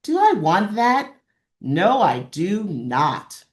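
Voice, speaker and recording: A woman's voice: mid-range voice, sing-song, drawn-out words. A woman presenting an instructional webinar, explaining in an encouraging way and repeating phrases for emphasis. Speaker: presenter of a librarian training session. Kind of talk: instructional webinar talk with examples.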